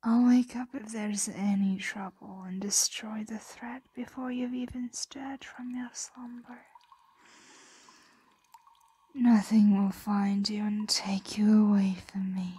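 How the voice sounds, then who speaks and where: A woman's voice: mid-range voice, level tone, warm tone, breathy edge. A woman playing an ancient, possessive, doting dragon in an ASMR roleplay, whispering breathily and soothingly, close to the microphone. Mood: protective, menacing. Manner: slow, soothing, possessive murmuring.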